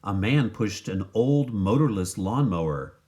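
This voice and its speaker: A man reading a children's story aloud in expressive scripted narration. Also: lowish voice, unhurried. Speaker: storybook reader.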